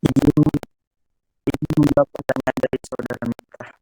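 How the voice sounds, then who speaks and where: A man's voice: medium pitch, little pitch movement, moderate pace, mellow, dry recording. A young man formally answers a question, setting out his points one by one. His voice sounds dry and close, with faint music behind it. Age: young adult. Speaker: student presenter. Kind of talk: classroom answer.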